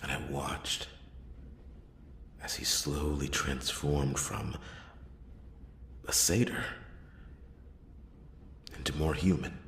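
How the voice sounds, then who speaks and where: Man playing a very deep, hushed noir detective. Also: fairly even intonation, reverberant, slight background noise, heavily acted. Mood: solemn, tender. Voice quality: hushed, breathy, often dropping into whisper. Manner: slow, halting, dramatic pauses. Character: brooding male noir detective recounting a murder case. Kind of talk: noir ASMR roleplay, first-person narration.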